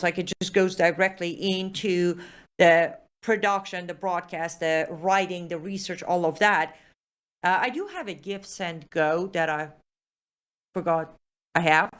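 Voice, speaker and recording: A woman's voice: lowish voice, lo-fi sound. A woman with a Persian accent, hosting a live show and chatting through viewer comments, with many fillers and restarts.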